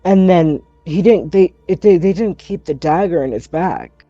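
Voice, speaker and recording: A man's voice: high-pitched, animated, moderate pace, warm tone, no room sound, highs cut off, faint music behind. A man playing a distraught, defensive orphaned teenage girl on trial, stammering hesitantly in a tabletop roleplay. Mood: defensive, nervous.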